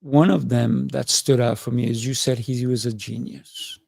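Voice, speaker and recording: A man's voice: medium pitch, moderate pace, vocal fry, darkish tone, slightly rough, no room sound, clean studio sound. A man hosting a podcast, interviewing conversationally and sometimes searching for his words. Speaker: podcast host.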